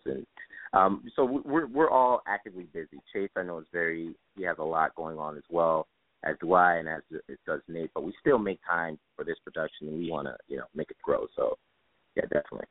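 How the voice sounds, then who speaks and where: A man speaking as an interview guest, casual and informative, with halting delivery. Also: deep voice. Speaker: guest, stage actor. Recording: roomy tinny phone line.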